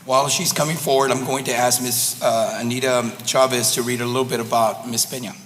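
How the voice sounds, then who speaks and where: A man chairing a school board meeting in a formal, courteous way, his voice slightly hoarse. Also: medium pitch, fairly even intonation, a little fast, fairly bright tone. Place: large echoey boardroom. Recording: echoey hall, faint room noise.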